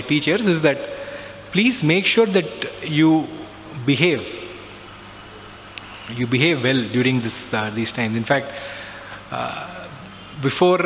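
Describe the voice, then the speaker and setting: A middle-aged man tells a reflective personal story, often pausing with "uh" and "so". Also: a little high, fairly bright tone. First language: not Hindi. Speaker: alumnus giving a talk. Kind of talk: personal spiritual talk. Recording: long reverb, faint background noise.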